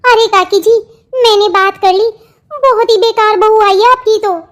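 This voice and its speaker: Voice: extremely high pitch, a little slow, fairly bright tone. A performer voicing a gossipy, two-faced young sister-in-law in a family cartoon, chatty and placating. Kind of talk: Hindi family comedy cartoon dialogue.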